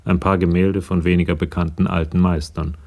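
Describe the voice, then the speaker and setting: Very deep, gravelly male narrator. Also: little pitch movement, fairly quick, darkish tone. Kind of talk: scripted narration of a radio crime drama.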